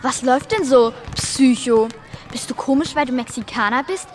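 A woman's voice: high-pitched, normal speed, lightly acted. A female performer playing a cheeky schoolgirl who taunts and provokes in a bratty way, in a radio play. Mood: taunting.

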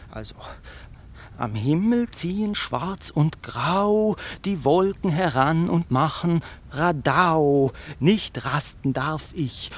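A man's voice: fairly high pitch, heavily acted. A man playing a plucky but anxious little fairy-tale tailor with theatrical delivery in a children's radio play. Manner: theatrical, deliberate when reciting.

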